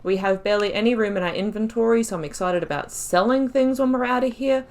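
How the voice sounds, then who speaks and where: A woman's voice: a little high, quick pace, darkish tone. Chatty, rambling woman doing casual gameplay commentary. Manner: chatty, rambling, self-deprecating, jokey. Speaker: video game streamer, playthrough host.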